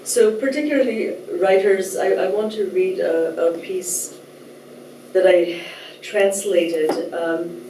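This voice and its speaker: A woman from Nepal giving a thoughtful, measured talk as a writer, at times reading a translated essay aloud, with soft hesitations. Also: mid-range voice, slight background noise. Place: lecture room. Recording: roomy echo, distant mic, faint ambience.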